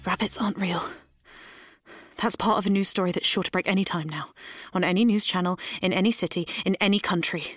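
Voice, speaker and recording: A woman's voice: fairly low pitch, steady tone, a little fast, a bit bright. A woman playing a paranoid conspiracy theorist who delivers dramatic, preachy warnings in a mock monologue. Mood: ominous, insistent. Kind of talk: mock conspiracy monologue in a comic sponsor spot.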